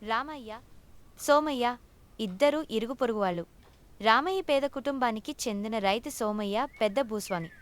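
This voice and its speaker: Higher-pitched woman narrating a moral tale. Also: moderate pace, slight background noise. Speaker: storyteller. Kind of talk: scripted narration of moral stories.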